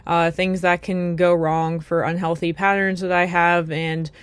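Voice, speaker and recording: A woman's voice: on the low side, flat delivery, a little slow, smooth, no echo. A young American woman talking through her thoughts in a casual, reflective way, with many fillers and restarts. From United States. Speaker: vlogger.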